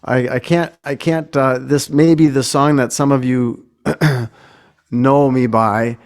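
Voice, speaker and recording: A man's voice: medium pitch, fairly even intonation, takes it slowly. American male singer-songwriter, casual between-songs talk, hesitant and unassuming. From Minnesota, United States. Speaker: singer-songwriter, guest performer. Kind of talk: casual, informal song introduction.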